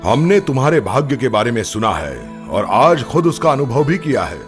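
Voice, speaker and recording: A man playing an imperious, declamatory Mughal emperor in a children's animated story. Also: medium pitch, moderate pace, soft background music, close to an everyday voice. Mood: stern, regal. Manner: regal, declamatory. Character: imperious Mughal emperor, easily alarmed, commanding.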